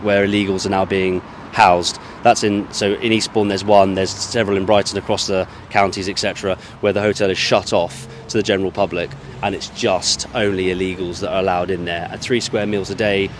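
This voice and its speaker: A man holding a microphone out on the street, questioning passers-by in a probing, leading way and circling back on his words. His voice sits a little low, and faint traffic hums behind him. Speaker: street interviewer. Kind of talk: vox-pop street interview. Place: outdoors on a street near a university. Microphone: handheld mic.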